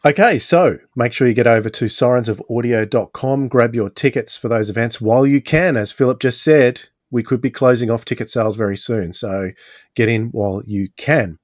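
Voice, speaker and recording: A man's voice: mid-range voice, moderate pace, dry recording, clean studio sound. A man hosting a podcast in a casual, chatty style. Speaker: podcast host.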